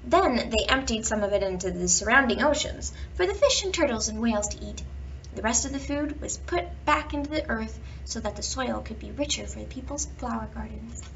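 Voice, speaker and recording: A woman with a fairly high voice and noticeable creak reads a children's story aloud. She stresses and stretches words for young listeners. The recording is dull and sounds a little far from the mic. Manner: storytelling to children, expressive emphasis and drawn-out words. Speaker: children's bedtime story reader. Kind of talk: reading a picture book aloud with playful asides. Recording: dull, distant home recording with background noise.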